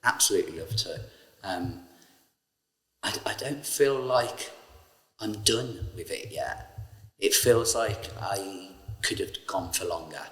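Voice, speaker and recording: Man, low voice, earnest actor, roomy echo, long reverb. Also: lively intonation, takes it slowly, pauses often, fairly bright tone. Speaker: pantomime actor. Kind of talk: casual interview chat. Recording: echoey hall.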